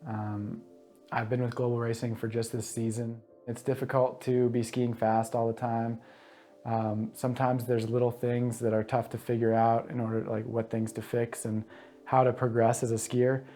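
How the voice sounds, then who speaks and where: A young man from Massachusetts talks about ski racing in a calm, matter-of-fact interview. His pitch sits mid-range and barely moves, and soft guitar music plays underneath. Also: moderate pace. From Massachusetts, USA. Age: 20s. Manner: relaxed, matter-of-fact, level delivery. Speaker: ski racer. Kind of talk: documentary interview.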